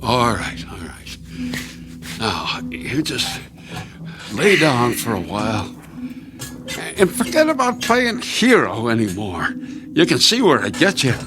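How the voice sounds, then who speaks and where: Casting: anxious older rural husband, slow halting delivery, swooping higher-set voice, radio thriller with music. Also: male voice, huge pitch swings. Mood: relieved, grumbling. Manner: halting, stammering, folksy, fussing. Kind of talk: audio drama acting.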